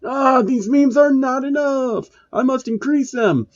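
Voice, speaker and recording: A man voicing a giant, boastful meme monster boss in a hammy, strained, shouting manner. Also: very high voice, a little slow, highs cut off, voice pushed up. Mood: raging, boastful.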